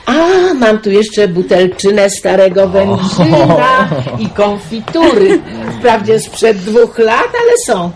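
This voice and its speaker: An actress playing a bustling, plain-spoken country hostess in a radio drama, homely and chatty. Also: female voice, medium pitch, takes it slowly, hardly any pauses, a loud crowd, lightly acted. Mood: hospitable, bustling.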